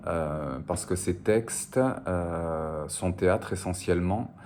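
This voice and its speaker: A man's voice: deep voice, slow, darkish tone. A man from the French Basque border, a native Spanish speaker, answering interview questions about his writing in a hesitant, reflective way with frequent fillers and repeated words. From French Basque Country, on the border. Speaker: novelist being interviewed.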